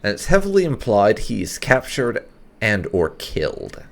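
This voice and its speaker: Deep, creaky-voiced man narrating gameplay. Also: wildly swooping pitch, unhurried. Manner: wry, sweary, explanatory. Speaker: gaming video host. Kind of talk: casual let's-play commentary with roleplay asides.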